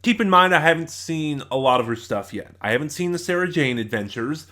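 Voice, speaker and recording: A man's voice: fairly high pitch, expressive, brisk, pushed and bright. Rambling man giving a casual review. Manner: hesitant, digressive, opinionated.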